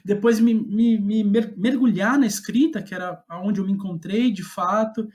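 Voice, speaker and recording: A man's voice: high-pitched, normal speed, darkish tone. A Brazilian man talks as an interview guest about his own working life. His delivery is casual and conversational, with a self-deprecating streak and a tendency to chuckle as he speaks. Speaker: guest playwright.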